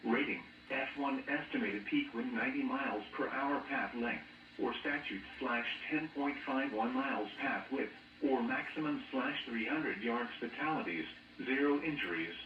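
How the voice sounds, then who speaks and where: A man's voice: medium pitch, normal speed, echoey room, distant mic. An American man's synthetic voice reads a scripted weather bulletin over weather radio in a mechanical announcer's delivery. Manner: flat, mechanical synthetic delivery, reading out codes and figures. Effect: weather radio broadcast. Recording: muffled, noisy weather radio picked up off a speaker in a room.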